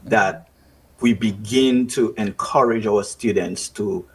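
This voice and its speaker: A man's voice: lowish voice, takes it slowly, slightly rough, rough recording. A man with a Dominican accent and a Dominican upbringing, speaking earnestly on a radio talk show as a writer and festival organizer. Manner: earnest, reflective, with restarts. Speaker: writer, festival committee member. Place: radio studio or remote call.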